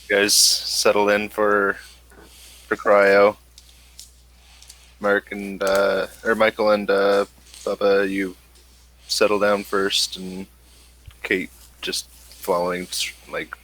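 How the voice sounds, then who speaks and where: A man narrating a tabletop role-playing game as game master, halting and pausing between phrases. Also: lowish voice, monotone, a bit bright, rough recording.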